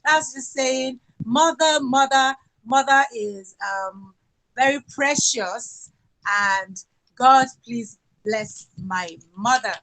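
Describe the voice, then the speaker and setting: A Nigerian woman with a Yoruba accent, presenting her country's culture and songs in an unhurried talk full of fillers. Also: high voice, low-quality recording. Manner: sings folk songs, speaks slowly and warmly with fillers.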